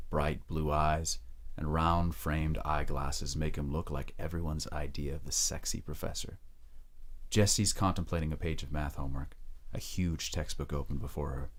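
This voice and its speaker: Very deep-voiced man narrating drama. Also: steady tone, moderate pace. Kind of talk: scripted audio drama narration.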